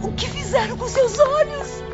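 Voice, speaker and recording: An anguished, very high-voiced wife. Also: female voice, normal speed. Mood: tender distress. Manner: melodramatic, wailing, pleading. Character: anguished, indignant devoted young wife. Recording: muffled, buried under very loud music.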